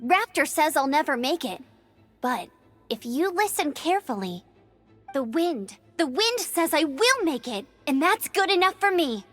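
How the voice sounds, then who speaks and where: Music behind a very high, lively young female scout, plucky and earnest, anime game style. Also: unhurried, heavily acted. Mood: determined. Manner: perky, earnest, dramatic delivery.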